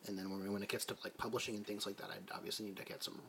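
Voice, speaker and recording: A man's voice: fairly low pitch, flat delivery, rapid-fire, gravelly, a bit bright, slight background noise. A man in his twenties co-hosting a podcast, chatting casually and rambling with lots of "like". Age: 20s. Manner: laid-back, rambling with frequent "like". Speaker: podcast co-host. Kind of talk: casual chat.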